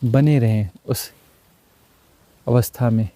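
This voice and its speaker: A man leading a guided meditation as a teacher, speaking in unhurried, short, repeated phrases and mixing in some English words. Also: medium pitch, mellow, slight background noise. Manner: mixes English words into Hindi.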